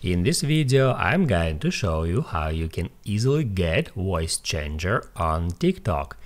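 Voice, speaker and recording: Guy doing a slow how-to tutorial, deep voice that swings up and down a lot. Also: very animated. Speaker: tutorial host. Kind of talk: scripted how-to tutorial narration.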